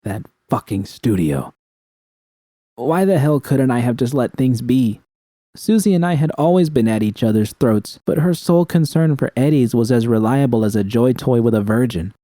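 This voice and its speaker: A man's voice: medium pitch, moderate pace, dark tone, no echo, studio quality. A man reading a scripted first-person story as an audiobook narrator.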